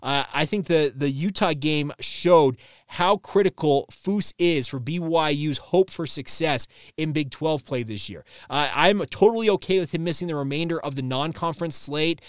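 A man in his thirties hosting a sports podcast solo, giving conversational news commentary with wordy phrasing and frequent filled pauses. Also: a little high, smooth, no room sound, studio quality. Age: 30s.

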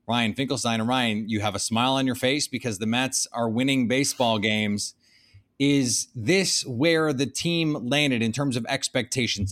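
A man's voice: medium pitch, fairly bright tone. A man hosting a sports show, delivering news updates and interviewing guests. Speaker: sports podcast host.

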